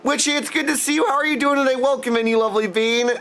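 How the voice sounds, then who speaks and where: High voiced guy streaming, super affectionate hellos to chat, bit of music behind him. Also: quick pace, a bit bright. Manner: effusive affectionate greetings, often addressing listeners as dudes. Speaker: live streamer greeting chat. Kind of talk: casual livestream chat with viewers.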